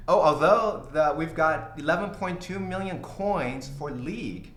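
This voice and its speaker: A man's voice: fairly high pitch, lively intonation, a bit bright. Middle-aged guy from brazil hosting a stream q&a, lots of uhs and ums, roomy office sound. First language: Portuguese. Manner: halting, many fillers and restarts, laughs easily. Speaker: company CEO hosting an AMA. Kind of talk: casual livestream Q&A. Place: small office studio with glass walls.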